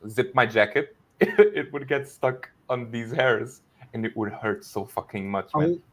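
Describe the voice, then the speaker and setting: A man from Portugal chats in a casual group call, his pitch rising and falling a lot. He hesitates over his words and laughs often. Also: lively intonation, a little slow.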